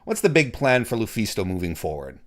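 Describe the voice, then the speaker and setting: A man hosting a wrestling interview, talking in halting restarts and cracking jokes. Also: fairly low pitch, very animated, a bit bright. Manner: halting, many restarts, joking. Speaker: interview host. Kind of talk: casual interview.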